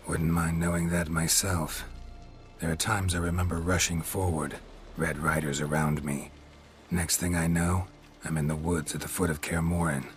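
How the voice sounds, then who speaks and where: An actor playing a gruff, stoic monster hunter in a video game, speaking in terse, understated phrases. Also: male voice, low voice, normal speed, soft background music, lightly acted. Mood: subdued, reflective. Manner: flat, clipped, understated. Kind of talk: video game cutscene acting. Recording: clear background noise and ambience.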